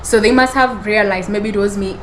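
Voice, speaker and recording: A woman's voice: mid-range voice, fairly even intonation, brisk, slight background noise. A woman hosting a podcast, telling a personal story in a rambling, self-correcting way and often laughing. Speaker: podcast host. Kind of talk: storytelling monologue.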